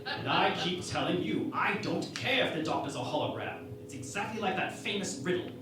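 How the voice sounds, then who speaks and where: Man acting grand, animated starship captain, echoey. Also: high-pitched, fairly quick, noisy background. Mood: concerned, explanatory. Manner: grandly formal, theatrical. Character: self-assured starship captain, male, earnest and cheeky. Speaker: actor in a parody radio play. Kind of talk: sci-fi parody radio play acting. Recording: echoey, distant, music underneath.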